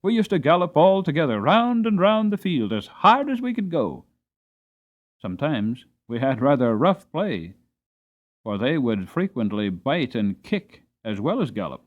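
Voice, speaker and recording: A man narrating a storybook dramatically, with deliberate, expressive phrasing. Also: on the high side, narrow, tinny audio. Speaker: audiobook narrator.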